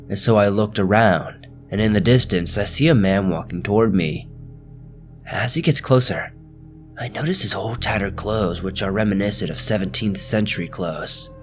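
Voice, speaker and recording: A man hosting a scary story channel, reading ghost stories aloud in a slow, measured, hushed storytelling delivery. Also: lowish voice. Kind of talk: scripted horror story narration.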